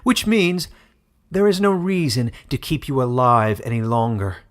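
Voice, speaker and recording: A man's voice: mid-range voice, very animated, a little slow. A man voicing a cruel, mocking woman ruler in a fantasy audiobook, haughty and theatrical in delivery. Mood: cold threat. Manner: scornful, sneering, theatrical. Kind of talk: dramatic fantasy audiobook character reading.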